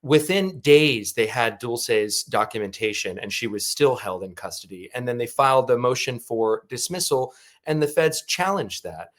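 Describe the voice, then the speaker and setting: A man's voice: mid-range voice, animated, normal speed. A man hosting a news talk show, discussing and questioning in a conversational way. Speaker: host.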